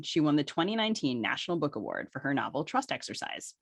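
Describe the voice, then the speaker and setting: Expressive woman co-host, dry sound. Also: deep voice, no room sound. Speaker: podcast co-host. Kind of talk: podcast conversation, reading introductions.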